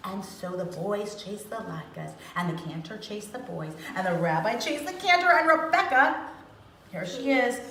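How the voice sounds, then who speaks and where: A woman reading a children's storybook aloud with dramatic, expressive phrasing, as a storyteller. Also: mid-range voice, moderate pace. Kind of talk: animated storybook read-aloud. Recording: echoey room with faint noise.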